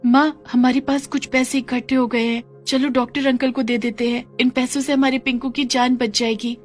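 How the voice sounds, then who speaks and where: A woman's voice: high voice, little pitch movement, normal speed, faint music behind, pitched above the performer's own voice, lightly acted. A woman voicing an anxious, caring little girl in a cartoon moral story. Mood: earnest.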